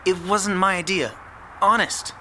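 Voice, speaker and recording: A man's voice: sits high, measured pace, sharp tone, slight background noise, full character voice. An actor playing a young male petty thug in an audio drama, defensive, pleading and evasive. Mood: nervous, defensive.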